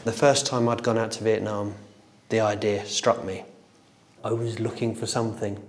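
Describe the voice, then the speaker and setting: A man's voice: fairly low pitch, little pitch movement, normal speed. A man talking reflectively in an interview about his own creative work as a graphic novelist. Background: faint music.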